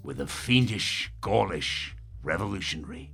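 A sardonic male radio presenter in a dark comedy drama. His voice is bright and forceful and swings widely in pitch, with a theatrical, condescending edge. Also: lively intonation, moderate pace, vocal fry, slightly rough, exaggerated voice. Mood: showy, jovial. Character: pompous, sarcastic male radio presenter in a dystopia. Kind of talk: darkly comic audio drama acting.

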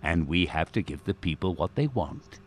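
A man acting in a darkly comic radio drama as a cynical, self-important show host, with a gravelly, husky voice and a theatrical, sardonic manner. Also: low-pitched, big pitch swings, normal speed, slight background noise. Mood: bitter, confiding. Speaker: actor playing a radio host.

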